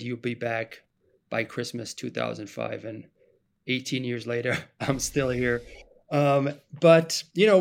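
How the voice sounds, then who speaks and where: Male podcast guest, 30s, easygoing storyteller who trips over restarts. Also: mid-range voice. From Germany. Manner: relaxed storyteller, restarts and self-corrects. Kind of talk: casual podcast interview.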